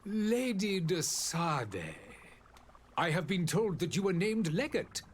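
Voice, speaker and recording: A man's voice: sits high, sing-song, a little hiss or hum, heavily acted. An actor playing a gracious, grave older male ambassador in a video game, with formal, florid phrasing. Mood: gracious, regretful. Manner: formal, florid, hesitant pauses. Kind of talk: scripted video game dialogue.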